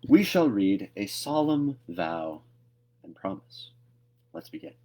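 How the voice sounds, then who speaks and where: Low-voiced man hosting a storybook read-aloud. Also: sing-song, takes it slowly, warm tone. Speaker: host of a read-aloud series. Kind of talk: casual chat and storybook narration.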